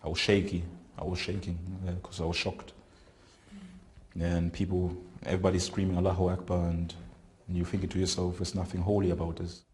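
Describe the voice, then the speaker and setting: Very deep, flat-voiced man. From Germany. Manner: halting, searching for words. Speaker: interviewee. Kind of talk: recounting experiences in an interview. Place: prison.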